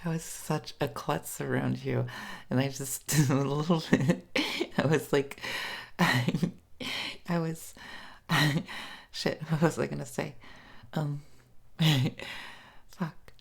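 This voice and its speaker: Casting: woman playing a bashful, smitten first date in roleplay audio; low register, unhurried, halting, tipsy giggles, nervous stammer. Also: fairly even intonation, gravelly, exaggerated voice. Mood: giddy, smitten. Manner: nervous, stammering, fumbling over words, giggling often. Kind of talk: intimate roleplay audio monologue to the listener.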